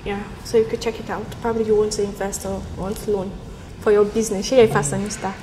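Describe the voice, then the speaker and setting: A woman's voice: medium pitch, level tone, quick pace, warm tone, slight background noise, low-quality recording. A young Nigerian woman in her twenties on a blind date, speaking in a blunt, teasing and direct way. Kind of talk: casual conversation. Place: indoor restaurant with large windows. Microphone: lapel mic.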